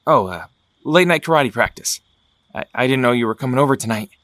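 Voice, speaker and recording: A man's voice: mid-range voice, expressive, fairly quick, a bit bright, dry recording, studio quality, lightly acted. Man playing an evasive, apologetic young boyfriend. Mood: evasive, sheepish.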